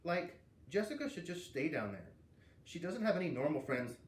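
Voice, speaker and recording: A man's voice: fairly high pitch, big pitch swings, moderate pace, pitched above the performer's own voice. A man reading the part of a mean, scheming schoolgirl who mocks her classmates in a snide way. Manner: snide, valley-girl filler 'like'. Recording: roomy, distant mic with faint noise.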